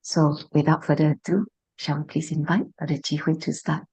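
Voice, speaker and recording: A hoarse woman moderating an online meeting, polite and halting with many fillers as she introduces the next speakers. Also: sits low, flat delivery, darkish tone. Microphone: video call.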